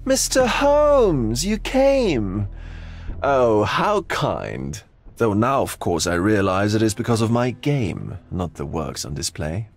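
A man playing a sly, hedonistic, eccentric art gallery owner in a video game, with drawn-out theatrical philosophizing. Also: on the low side, sing-song, faint music behind, exaggerated voice. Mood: delighted, sly. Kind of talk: video game cutscene acting.

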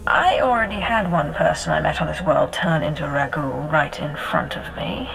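Gravelly, stern Imperial agent. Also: female voice, sits low, moderate pace, rough recording. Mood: cool, stern. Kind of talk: video game cutscene dialogue. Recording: prominent game music behind.

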